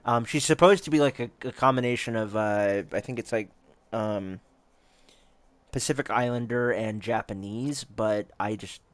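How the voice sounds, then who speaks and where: A man's voice: lowish voice, normal speed, no room sound. A man chatting casually and informally to viewers as host of a gaming channel, rambling a little. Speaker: host and player of a solo tabletop game channel.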